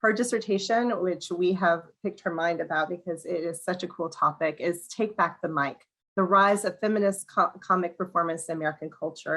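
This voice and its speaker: A woman with a slightly crackly, hoarse voice hosting an online museum program, reading a welcome and introducing a guest, often clearing her throat and restarting words. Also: on the low side, fairly bright tone. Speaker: museum education director hosting a webinar. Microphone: video call.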